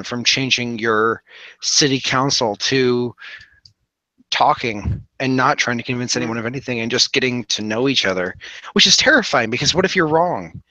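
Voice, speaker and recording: A man in earnest, conversational discussion, speaking haltingly and reflectively and repeating words for emphasis. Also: mid-range voice, sharp tone, dry recording, rough recording.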